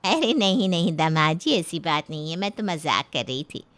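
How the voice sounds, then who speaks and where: A woman's voice: low voice, big pitch swings, normal speed, a bit bright, pitched below the performer's own voice. A woman voicing a middle-aged mother in a cartoon story, a flustered and scolding hostess. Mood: flustered, placating.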